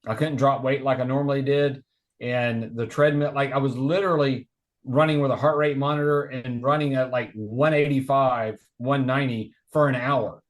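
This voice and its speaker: A man in his forties talks casually over a video call, his voice sitting at an ordinary middle pitch. He wanders through his stories earnestly and rambles a little as he goes. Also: fairly even intonation, normal speed. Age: 40s. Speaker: podcast guest. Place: home, remote video call. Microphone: video call microphone.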